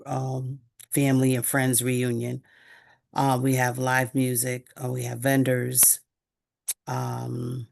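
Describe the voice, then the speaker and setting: A woman's voice: extremely low pitch, vocal fry. A woman from Norwalk, Connecticut, presenting her event to a city committee over a remote call, hesitant with many fillers. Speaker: event organizer applicant. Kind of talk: public comment at a city meeting.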